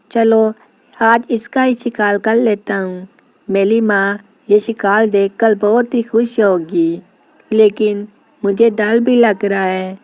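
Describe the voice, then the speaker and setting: A timid little lion cub from a cartoon fable , voiced by a woman. He sounds earnest and eager to please, slightly raised in pitch, with a dry and close sound. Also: darkish tone, dry recording, close to an everyday voice. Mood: excited, nervous.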